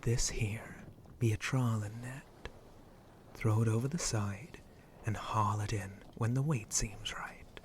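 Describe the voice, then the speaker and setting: A man acts out a roguish shark pirate captain in an ASMR roleplay, over clearly audible background noise. The voice is lowish and breathy, often dropping to a whisper. He drawls slowly in teasing, flirty pirate speech. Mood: instructive, roguish. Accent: put-on pirate speech. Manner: slow, teasing, drawling pirate talk with low chuckles. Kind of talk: ASMR roleplay addressed to the listener.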